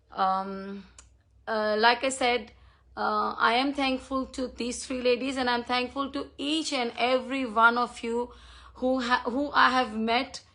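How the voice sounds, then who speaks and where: A woman in her fifties from India, with Hindi as her first language, giving a heartfelt talk on a live stream. Her voice is fairly high with a bright, gravelly edge, and she pauses often on um and uh. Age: 50s. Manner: earnest and thankful, with frequent ums and uhs. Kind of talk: personal talk on a social media live stream. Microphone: phone or computer mic on a live stream.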